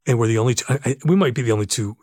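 Male, 50s, American. Casual podcast guest, animated storyteller with stammered starts, mid-pitched, recorded close and dry. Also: very fast, husky, no room sound. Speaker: podcast guest, sports arena announcer. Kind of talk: casual anecdotal interview chat. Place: in a room with the hosts.

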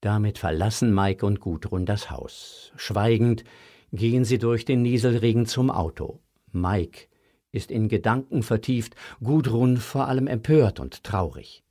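A man's voice: on the low side, unhurried, dark tone. A man narrating a scripted children's audio story with a dramatic, suspenseful delivery.

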